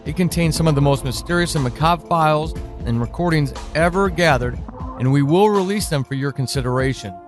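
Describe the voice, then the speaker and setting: A man reads a scripted introduction in a measured, solemn tone, his voice slightly high. A music bed plays clearly under him throughout. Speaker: narrator. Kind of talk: scripted narration.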